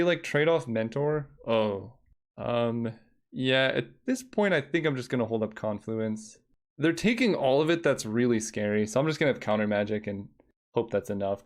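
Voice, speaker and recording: A young man streaming a card game, talking casually in a relaxing voice, thinking aloud and counting under his breath. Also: medium pitch.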